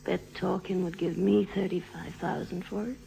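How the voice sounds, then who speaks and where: Creaky-voiced, coaxing seductress. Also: female voice, fairly low pitch, flat delivery, unhurried, dark tone, low-quality recording. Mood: coy, probing. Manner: coaxing, intimate, teasing. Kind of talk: 1950s radio drama acting.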